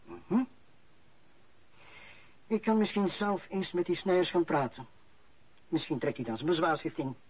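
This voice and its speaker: Husky, high-voiced officious male bureaucrat. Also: darkish tone. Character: shrewd, formal male senior civil servant. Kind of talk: radio play acting. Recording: dull, muffled radio play recording.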